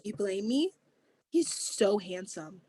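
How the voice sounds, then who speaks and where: A woman's voice: mid-range voice, expressive, slow pace, warm tone, slightly rough, dry recording, low-quality recording. A woman plays a wry young woman who teases her friends and laughs at her mother's connections. Her voice sits in a middle range. The sound is close and dry. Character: young woman April, teasing, with a well-connected mom. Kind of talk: table read acting.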